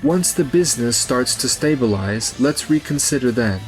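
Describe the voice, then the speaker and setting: A man's voice: mid-range voice, lively intonation, moderate pace, faint music behind, natural voice, poor audio. A man playing a modest, earnest young car designer in a manga dub. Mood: earnest, polite. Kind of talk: anime-style manga dub acting.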